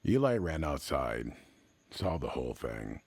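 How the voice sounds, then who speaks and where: A man narrating a scripted story in the manner of classic radio drama, somber and measured, with dramatic pauses between short phrases. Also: sing-song, a little mellow, no echo. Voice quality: very deep, gravelly, husky.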